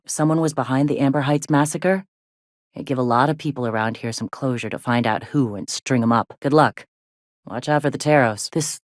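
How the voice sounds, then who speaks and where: A woman voicing a gruff, plainspoken middle-aged frontier medic in a video game, with a blunt, folksy way of talking. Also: a bass voice, no room sound, clean studio sound. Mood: businesslike. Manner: folksy, blunt, drawling slang with swearing. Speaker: video game character, medic and deputy leader.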